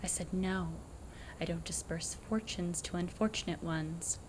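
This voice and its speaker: A woman's voice: fairly low pitch, steady tone, unhurried, slight background noise, lightly acted, low-quality recording. A woman playing an imperious, cryptic prophetess who speaks formally and curtly in an audio drama. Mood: haughty, stern. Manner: imperious, formal, archaic wording. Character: haughty, cryptic ancient prophetess, curtly dismissive.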